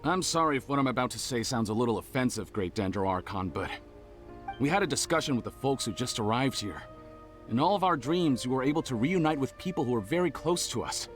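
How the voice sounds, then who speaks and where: A man's voice: medium pitch, animated, fairly quick, faint music behind, close to an everyday voice. A man voicing a troubled adult townsman in a game, earnest, hesitant and apologetic. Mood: pleading. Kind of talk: game voice acting.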